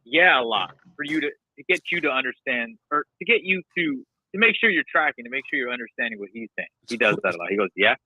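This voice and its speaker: A man's voice: medium pitch, expressive, quick pace, few pauses, bright, forceful tone, slightly rough, no echo. A man chatting casually as a panellist on a podcast. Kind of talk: casual chat. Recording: dull, lo-fi dry sound.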